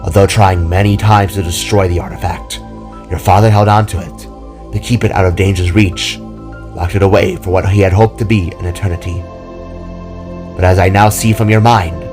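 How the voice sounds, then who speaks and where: A man voicing a solemn, protective motherly fox spirit who explains things gravely in a fantasy story. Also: low-pitched, fairly even intonation, moderate pace, over music, lightly acted. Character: solemn motherly female kitsune guardian, wise and protective.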